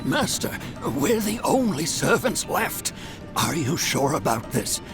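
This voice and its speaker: An actor playing a worried, loyal servant in a video game, deferential and hesitant. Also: male voice, a little high, sing-song, a little slow, over music. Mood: worried, pleading. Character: anxious, loyal male servant of a declining clan. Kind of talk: scripted game voice acting.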